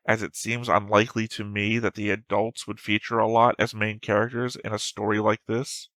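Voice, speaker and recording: Man, low voice, flat delivery, dry close mic, rambling notes, self-correcting. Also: gravelly, no room sound. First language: English. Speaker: anime reaction channel host. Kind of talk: reading his pre-episode notes and theories.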